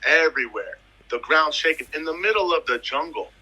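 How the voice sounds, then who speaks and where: A man's voice: on the high side, expressive, a little fast, bright, forceful tone, a little hiss or hum, rough recording. A man in his thirties from the US Virgin Islands, a footballer telling his career story in a casual interview, emphatic and fond of repeating phrases. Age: 30s. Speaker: footballer guest.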